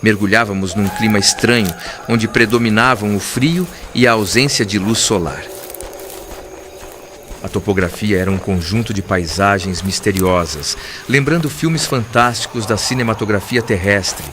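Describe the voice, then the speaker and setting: A man narrating a literary audiobook in a formal, earnest, measured way. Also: fairly low pitch, background noise. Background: clear background music.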